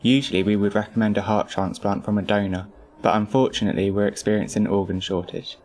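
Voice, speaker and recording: Guy doing sorry doctor, lowish voice, formal and measured, amateur audio drama. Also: level tone, darkish tone, lightly acted. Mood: apologetic. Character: apologetic male doctor delivering bad news.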